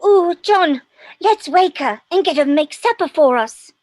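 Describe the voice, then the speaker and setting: A woman's voice: very high-pitched, lively intonation, unhurried, a little mellow, dry recording. A woman playing a very small, eager child in an audio play.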